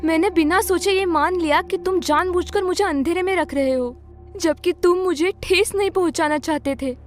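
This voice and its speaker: Woman doing a young bride, super high voice, soft and sorry-sounding. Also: normal speed, faint music behind. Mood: apologetic. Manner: soft, apologetic. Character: young, remorseful new bride. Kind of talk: Hindi audio story acting.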